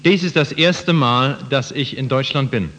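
A man's voice: mid-range voice, measured pace, fairly bright tone, slight background noise. A man interpreting a sermon phrase by phrase for the listeners. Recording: muffled, reverberant hall.

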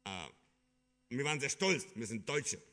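A man from Kyrgyzstan preaching a sermon in an earnest, halting way, with many fillers and restarts. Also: medium pitch, expressive, bright, forceful tone.

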